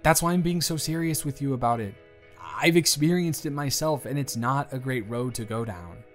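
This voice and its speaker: A man's voice: mid-range voice, normal speed. A man acting in an intimate audio roleplay as an earnest, conflicted boss, talking in a confiding, hesitant, conversational way. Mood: earnest, reflective. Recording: music behind the voice.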